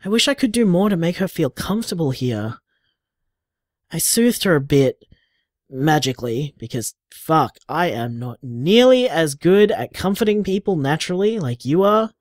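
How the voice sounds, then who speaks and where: An actor plays a devoted partner in an audio drama, leaving intimate voicemails in a mid-pitched voice. The delivery is candid and conversational, with lively swings of pitch, recorded close and dry. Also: moderate pace, dry recording, natural voice. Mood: worried, frustrated. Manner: candid, tender, conversational, sighing, mild swearing. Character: affectionate, emotional partner leaving voicemails for lover.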